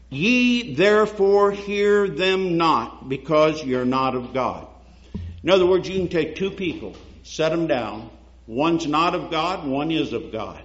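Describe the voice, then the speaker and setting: A man's voice: fairly high pitch, a bit bright, muffled recording. A man preaching a sermon, reading scripture aloud and building emphasis on key phrases. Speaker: pastor.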